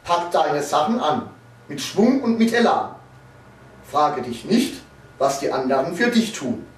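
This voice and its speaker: A man reciting a satirical poem in a declamatory, theatrical and measured manner, drawing out the ends of lines. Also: on the high side, sing-song. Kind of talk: dramatic poetry recitation, satirical. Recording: roomy echo, distant microphone.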